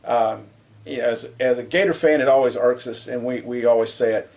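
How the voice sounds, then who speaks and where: A man in the middle of the male pitch range, co-hosting a college sports podcast. He debates in a casual, rambling way. When something irks him, his voice climbs and gets louder. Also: steady tone, brisk, gravelly. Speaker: co-host.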